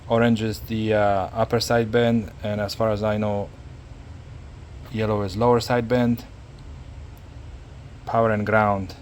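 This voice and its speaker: A man narrating a casual hands-on repair tutorial, speaking haltingly and repeating words as he works. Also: on the low side, flat delivery, darkish tone, a little hiss or hum. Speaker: hobbyist demonstrating a radio repair.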